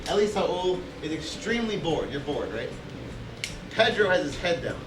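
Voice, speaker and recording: A man's voice: a little high, sing-song, moderate pace, over music, rough recording. A young man in his twenties, a science teacher, speaking encouragingly with frequent restarts and fillers. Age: 20s. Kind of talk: interview and classroom talk.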